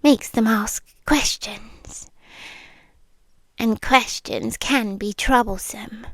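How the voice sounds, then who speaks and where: A British woman playing a sweetly menacing, possessive vampire girlfriend in an ASMR roleplay, speaking in coaxing, breathy whispers with pauses, close to the microphone. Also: a little high, no echo, exaggerated voice. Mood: soothing, menacing. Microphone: close microphone, dry.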